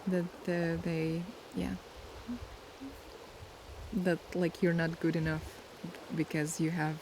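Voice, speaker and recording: A young woman chats softly in a relaxed ASMR Q&A, unhurried and reflective as she searches for words. Her voice stays level and flat, with a gravelly fry running through it. Steady sea noise washes behind her outdoors. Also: sits low. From Latvia. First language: Latvian. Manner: soft, unhurried and thoughtful, pausing to search for words. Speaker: ASMR creator. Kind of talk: casual ASMR Q&A chat. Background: sea waves.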